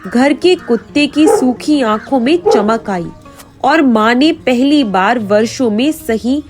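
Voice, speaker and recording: A woman's voice: a little high, animated, slow. A woman narrating a folk tale as a dramatic scripted story. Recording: faint music behind the voice.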